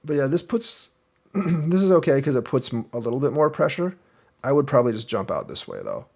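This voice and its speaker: A young man walks through a student's board game in a relaxed, conversational way. He thinks aloud as he explains why each move is too passive or not big enough, at an ordinary pitch for a man. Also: brisk, gravelly. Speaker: Go teacher reviewing a game. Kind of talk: casual game review commentary.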